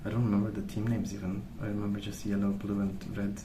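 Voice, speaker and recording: A soft-spoken man rambling in an ASMR style, with a hesitant, meandering and unhurried way of recollecting. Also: on the low side, completely flat delivery. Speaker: ASMR video host. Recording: roomy, distant mic, noisy background. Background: pencil colouring sounds, background noise.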